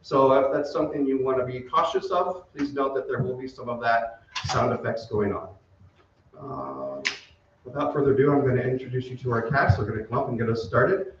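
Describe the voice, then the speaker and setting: A man's voice: mid-range voice, brisk, noisy background. A middle-aged man giving a casual, off-the-cuff welcome speech as a community theater director. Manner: informal, off the cuff. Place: theater stage before an audience. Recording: very echoey hall, distant mic, muffled.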